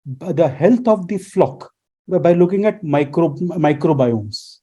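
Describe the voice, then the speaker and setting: A man talks through his subject as a radio guest. His voice sits high and sounds deeply mellow. He teaches as he goes and keeps posing rhetorical questions. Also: animated, slow pace, slightly rough. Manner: lecturing, poses rhetorical questions. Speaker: guest expert. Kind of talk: explanatory radio interview answers.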